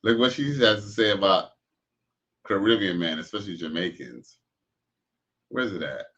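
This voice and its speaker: Host type: lower-voiced, bright and edgy man, crude and joking, muffled lo-fi stream audio. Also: normal speed. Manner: crude, joking, loose slang. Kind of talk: casual reaction commentary.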